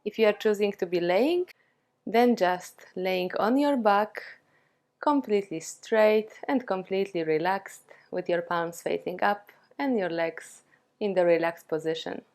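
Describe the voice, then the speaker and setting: Slow-paced woman guiding a meditation. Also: mid-range voice. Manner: calm, soothing, with long pauses between short phrases. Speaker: yoga and meditation teacher. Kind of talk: guided meditation.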